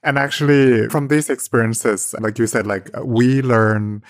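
A man co-hosting a podcast, asking questions conversationally in halting, hedged phrases. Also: mid-range voice, no room sound, clean studio sound. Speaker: podcast co-host. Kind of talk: conversational interviewing.

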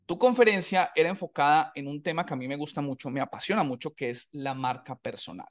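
A man's voice: on the high side, fast talker. A man hosting a podcast by video call, upbeat and thankful, often repeating his phrases. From Colombia. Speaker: podcast host. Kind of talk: casual interview chat. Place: home setup in a US city.

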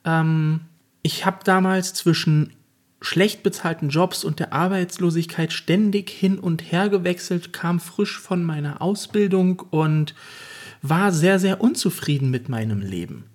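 A man's voice: a little high, unhurried. A man co-hosting a podcast, telling his story in an earnest, reflective way. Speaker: podcast co-host. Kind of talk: casual podcast chat.

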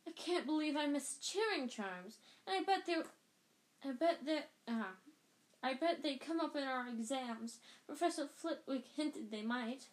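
A woman voicing an anxious, flustered schoolgirl who squeaks and wails. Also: animated, a little slow. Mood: harassed.

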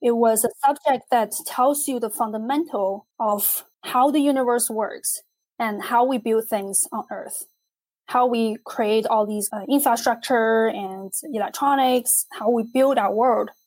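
A young adult woman from China, a physicist and artist, speaking in a measured, thoughtful way in a conversational interview. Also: on the high side, fairly even intonation, a little mellow, low-quality recording.

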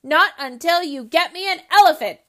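A bossy, whiny little girl from a children's storybook, put on by a woman reader. She sounds high and squeaky and draws out her demands at a slow pace. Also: expressive, fairly bright tone, heavily acted. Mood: demanding.

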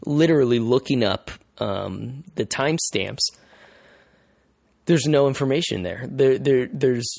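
A male podcast host explaining things in casual conversation, haltingly, repeating phrases as he thinks aloud. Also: medium pitch, gravelly, darkish tone, no room sound, studio quality.

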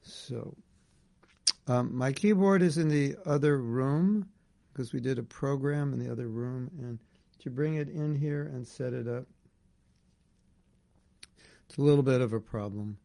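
Slow, casual male scripture teacher. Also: mid-range voice, vocal fry, dark tone, no room sound. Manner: casual and conversational, with restarts. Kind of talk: informal scripture class. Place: indoor room at home.

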